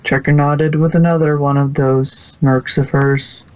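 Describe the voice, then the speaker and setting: A man's voice: on the high side, flat delivery, measured pace, darkish tone, clear, resonant tone. A man reading fan fiction aloud as a scripted story narrator, sometimes stumbling and rereading words. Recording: slightly muffled recording.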